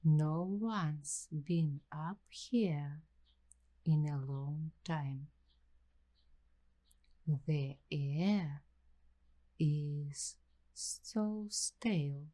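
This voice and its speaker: A woman playing a nervous, curious young waitress in an ASMR roleplay, speaking softly and breathily in short, halting phrases. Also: deep voice. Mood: puzzled. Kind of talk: ASMR roleplay, slow scripted monologue.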